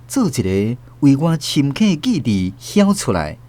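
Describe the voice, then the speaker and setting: Casting: old Taiwanese man recalling lost love, emotive literary narration, animated mid-range pitch. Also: a little slow. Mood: wistful, stirred. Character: elderly Taiwanese grandfather recalling a lost love, tender, wistful. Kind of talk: scripted radio drama narration.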